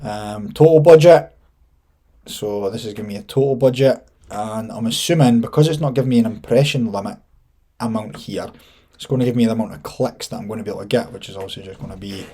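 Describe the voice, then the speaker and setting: Scottish male tutorial presenter, thirties, lowish husky voice, informal think-aloud delivery. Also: fast, mellow. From Scotland. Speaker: online marketing instructor. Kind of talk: casual screen-share tutorial, thinking aloud.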